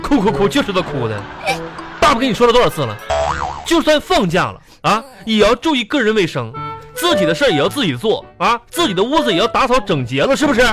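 A man's voice: high-pitched, wildly swooping pitch, fast. A man playing a fussy, exasperated but doting father with a Northeastern Chinese accent, stammering and nagging in a comedy radio skit. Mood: exasperated scolding. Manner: stammering repetitions, nagging rhetorical questions. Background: music.

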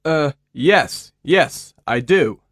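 A man's voice: fairly high pitch, animated, fairly bright tone, slightly rough, no echo, clean studio sound, heavily acted. A man voicing a patient with a cold who speaks hesitantly in a scripted listening dialogue. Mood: unwell. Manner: slow, hesitant speech. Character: male patient with a cold, unwell.

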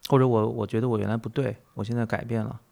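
A man's voice: mid-range voice, little pitch movement, fast talker, vocal fry, warm tone. A man hosting a reflective conversational interview, speaking emphatically and repeating phrases to drive points home. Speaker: podcast host and teacher.

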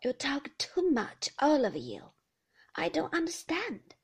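A woman's voice: high-pitched, huge pitch swings, slow, gravelly, pitched above the performer's own voice, heavily acted. A woman voicing a frail, confused old grandmother with a thin, querulous voice who keeps asking the same things. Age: older. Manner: plaintive, repeating herself.

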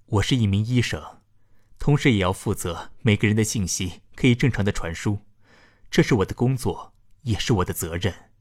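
Man playing a low-voiced, dutiful doctor. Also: darkish tone, lightly acted. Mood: politely firm. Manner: measured, formal, explanatory. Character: dutiful male doctor, calm, official, politely firm. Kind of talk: audio drama acting.

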